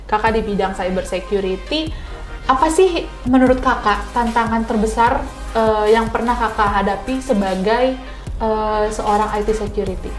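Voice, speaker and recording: A woman's voice: on the high side, moderate pace. A woman with a Jakarta Indonesian accent hosting a casual interview in a chatty, informal way, often seeking agreement. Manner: chatty, informal, frequently echoes answers back and seeks agreement. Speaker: podcast interviewer. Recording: roomy echo, music underneath. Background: music.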